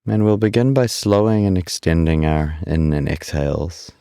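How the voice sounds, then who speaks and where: A man leading a guided body scan meditation in a calm, unhurried, soothing way, leaving long pauses between instructions. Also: low-pitched, clear, resonant tone, dry recording, clean studio sound.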